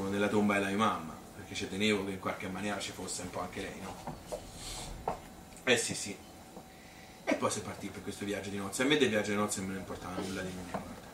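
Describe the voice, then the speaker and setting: A chatty working-class Tuscan woman, played by a man in a low voice, reminisces about married life in a rambling, colloquial stage monologue. The delivery halts and repeats like spontaneous storytelling. It is recorded from a distance in an echoey room with clear background noise. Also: steady tone, natural voice. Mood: confiding. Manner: rambling, colloquial, halting with repetitions. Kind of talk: spontaneous-sounding theatrical monologue. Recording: roomy, distant, noisy live recording.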